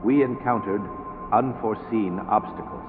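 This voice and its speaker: A man's voice: lowish voice, normal speed, music in the background, lightly acted. A man playing a cold, menacing commandant. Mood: reporting. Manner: cold, calm, threatening. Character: menacing male government commandant villain. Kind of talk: radio drama acting. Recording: tinny lo-fi with noise.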